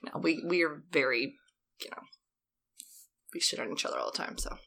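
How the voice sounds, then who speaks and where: A woman's voice: sits low, moderate pace, gravelly, sharp tone. A woman in her twenties from Texas, a podcast guest telling stories in a candid, self-mocking way, with a scratchy voice. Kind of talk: casual storytelling chat.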